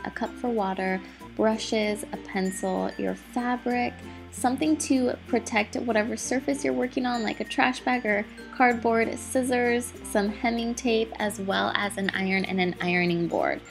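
A woman's voice: on the high side, normal speed, vocal fry, roomy sound, soft background music. A young woman vlogging a chatty, encouraging DIY tutorial at home, her voice a little scratchy from a cold. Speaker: mom vlogger. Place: living room at home.

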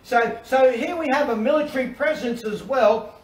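A middle-aged man with an Australian accent, preaching an animated sermon from a covered outdoor deck, with repetitions and restarts. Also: very high voice, normal speed. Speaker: pastor. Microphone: far camera. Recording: roomy and distant with faint ambience.

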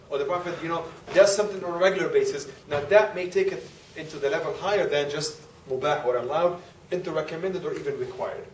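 A man's voice: on the high side, slight background noise. A man in his forties giving a conversational classroom lecture while standing and gesturing, laughing easily. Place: classroom with display boards. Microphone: far camera. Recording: echoey room, distant camera.

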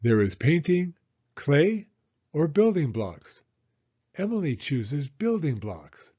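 An older man reading a children's story aloud as a storyteller, with expressive, deliberate phrasing for young listeners. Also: a little high, slightly rough, muffled recording. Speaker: storyteller, elementary school teacher.

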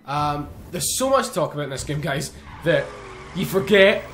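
A young man chatting casually over racing gameplay, excitable, swearing and joking. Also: on the high side, moderate pace. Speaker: gaming YouTuber. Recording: faint game engine noise behind.

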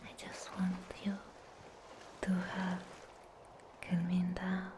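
Woman as serene anime sea guardian for ASMR roleplay: breathy whisper, very slow, mellow, soothing. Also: lowish voice, completely flat delivery, a little hiss or hum.

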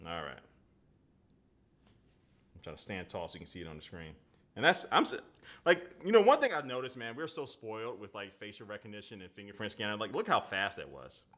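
A man's voice: on the low side, sing-song, a little fast, bright, forceful tone. A man in his thirties hosting a casual live-stream phone unboxing, chatting loosely with viewers and reading their comments aloud. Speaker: tech reviewer. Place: indoor home studio at a desk.

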